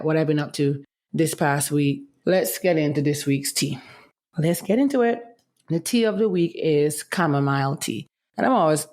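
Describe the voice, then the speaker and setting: A woman's voice: deep voice, darkish tone. A young woman hosting a casual solo podcast, talking in a candid, chatty way while her voice is affected by medication. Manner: candid, chatty, often says "let me tell you". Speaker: podcast host.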